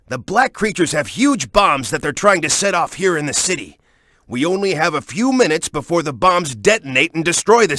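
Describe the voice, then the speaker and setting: A man's voice: sits high, bright, forceful tone, dry recording, studio quality, exaggerated voice. A man playing a tough, hot-headed young hero in a video game, speaking in a brash, urgent, punchy way. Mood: pressing, urgent. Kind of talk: video game character voice clips.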